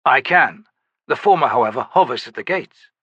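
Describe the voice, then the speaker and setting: A man voicing a dutiful male soldier officer in an audiobook, reporting briskly and respectfully. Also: medium pitch, expressive, fairly bright tone, breathy edge, no echo, dull audio.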